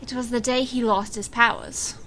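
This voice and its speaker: A woman playing a guarded, resolute stage magician in an audio drama, speaking in a grave, measured, firm way. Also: on the high side, steady tone, sharp tone, soft background music. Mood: reluctant, confessional. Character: resolute, guarded woman stage magician facing her murderous birth father.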